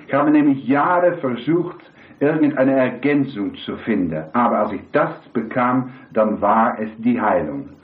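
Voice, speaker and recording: A hoarse man preaching a sermon, rambling and putting questions to the congregation. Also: medium pitch, lively intonation, unhurried. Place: church hall with congregation. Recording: echoey, tinny lo-fi hall recording.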